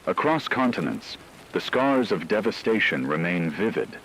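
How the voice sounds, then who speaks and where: A man playing a deep-voiced, solemn radio anchor. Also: big pitch swings, fairly bright tone, a little hiss or hum, heavily acted, poor audio. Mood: grave, resolute. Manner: solemn, measured, formal. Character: solemn male radio anchor reporting a world war. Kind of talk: scripted dramatic radio news narration.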